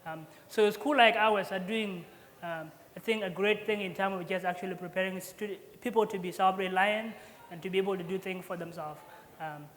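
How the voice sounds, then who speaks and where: A young man in his twenties from South Sudan giving an earnest speech as an honoree at an alumni event, pausing often with fillers and restarts. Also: high voice, a bit bright, big-room sound, a little hiss or hum. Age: 20s. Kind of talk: prepared acceptance speech.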